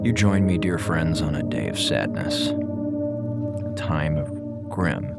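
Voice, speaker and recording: A man's voice: very deep voice, wildly swooping pitch, slow pace, gravelly, loud music behind the voice, exaggerated voice. A man playing a sardonic, melodramatic communications officer on a space station in an audio drama, with a theatrical, mock-solemn delivery. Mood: mock-mournful. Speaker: communications officer recording a log. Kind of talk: audio drama acting, log narration.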